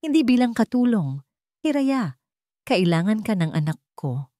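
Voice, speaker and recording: A woman's voice: medium pitch, very animated, unhurried, warm tone, dry recording, studio quality, pitched above the performer's own voice. A woman voicing a proud, wealthy father who pleads with urgency and desperation in a narrated story. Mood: urgent pleading. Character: arrogant rich father, now pleading and desperate.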